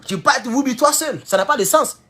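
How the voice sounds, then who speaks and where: A man with an Ivorian French accent giving a heated political commentary, jumping from loud high outbursts to low mutters. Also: expressive, fast talker, husky. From Ivory Coast. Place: at home in California.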